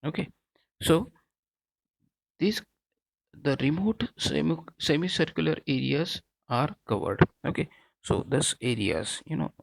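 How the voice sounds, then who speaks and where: A man lecturing in an explanatory, halting way, repeating himself and often checking in with a tagged okay. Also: on the high side, lively intonation, gravelly, rough recording. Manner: halting, repetitive, frequent okay as a tag. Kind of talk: explanatory technical lecture over slides.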